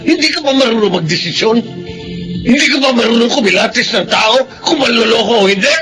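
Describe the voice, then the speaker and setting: Man playing a high-voiced, scolding older Filipino father. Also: animated, a little fast, poor audio. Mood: furious. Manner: scolding, forceful rhetorical questioning. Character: stern, outraged father of grown children. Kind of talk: Filipino radio-style melodrama acting. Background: dramatic music.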